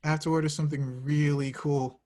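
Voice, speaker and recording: A man playing an excitable, oblivious young slacker in love, slangy, in a comedy screenplay table read. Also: a little high, fairly quick, natural voice. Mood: excited.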